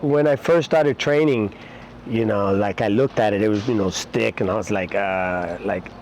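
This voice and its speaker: A middle-aged man, talking casually and haltingly in an interview as a martial arts instructor, with many pauses. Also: on the low side, darkish tone, a little hiss or hum. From Puerto Rico-born, raised in New York City.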